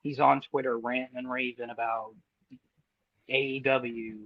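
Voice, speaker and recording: A man's voice: mid-range voice, little pitch movement, a little slow, no echo, highs cut off. A man co-hosting a podcast, talking in a blunt, crude, sardonic way. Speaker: podcast co-host. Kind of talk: casual profane podcast banter.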